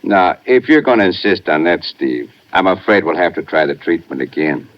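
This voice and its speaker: Very deep, placid heavy. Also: male voice, very animated, normal speed. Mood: placid menace. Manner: placid, patronizing, understated. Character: calmly menacing sanitarium orderly, a big heavy. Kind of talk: 1950s radio drama acting. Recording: muffled vintage 1950s radio recording.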